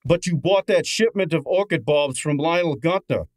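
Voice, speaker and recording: An actor playing a cheeky, exasperated detective's assistant in a radio drama, insistent and wisecracking. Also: male voice, fairly high pitch, moderate pace, no echo, clean studio sound, close to an everyday voice. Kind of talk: old-time radio drama acting.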